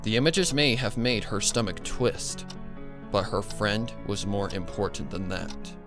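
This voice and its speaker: A man narrating a scripted horror story in a grave, measured, dramatic way. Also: fairly low pitch, lively intonation. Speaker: fan fiction narrator. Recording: music bed behind the voice. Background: music.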